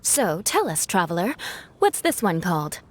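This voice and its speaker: A wry, self-assured baker in a video game, with a mid-height voice and lively, expressive intonation. She sounds confident and a little teasing. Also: on the low side, moderate pace. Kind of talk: video game cutscene voice acting.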